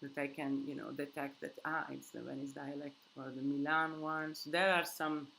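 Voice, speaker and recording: A woman's voice: sits low, little pitch movement, normal speed, vocal fry, fairly bright tone, slight background noise, low-quality recording. A woman hosting a live Q&A in English that is not her first language, rambling casually with many restarts and fillers. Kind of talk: casual live-stream chat with viewers. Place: countryside home.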